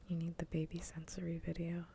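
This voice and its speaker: Hushed woman whispering, dark and low-key. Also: completely flat delivery, fairly quick, vocal fry, a little hiss or hum. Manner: soft-voiced, mostly whispering. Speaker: livestream ASMR host. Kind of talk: whispered ASMR, casual chat.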